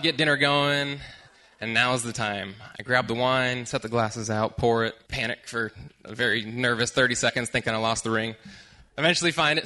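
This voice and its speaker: A man with a bright, ringing voice tells a personal story to a crowd. He talks with lively, self-amused energy, often breaking into a laugh. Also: medium pitch. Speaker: storyteller on stage.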